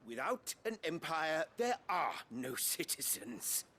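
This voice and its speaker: An actor playing a haughty, imperious duke in a fantasy game, speaking in a formal, pompous, oratorical way. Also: male voice, fairly high pitch, sing-song, slow, bright, forceful tone, heavily acted. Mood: stern indignation. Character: haughty imperious male duke of a fallen empire. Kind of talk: scripted fantasy game acting.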